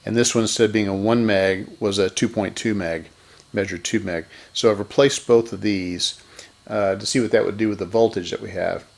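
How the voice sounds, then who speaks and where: A man talks in a fairly low voice with plenty of vocal fry. He explains hands-on repair work without a script. He reasons step by step and corrects himself along the way. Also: fairly even intonation, moderate pace. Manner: methodical, thinking aloud with self-corrections. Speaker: electronics repair host. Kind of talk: unscripted hands-on repair commentary.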